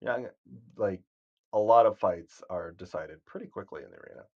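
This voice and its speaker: A man giving casual, rambling commentary on a game stream, with a calm, soothing voice and frequent self-corrections. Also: on the low side, moderate pace, dull audio. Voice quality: soothing, sleep-inducing voice.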